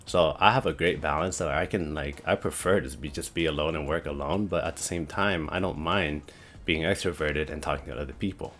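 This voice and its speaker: Deep monotone young man vlogging. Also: brisk. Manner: rambling, heavy use of "like" and "you know". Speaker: YouTube creator. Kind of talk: casual talking-head vlog.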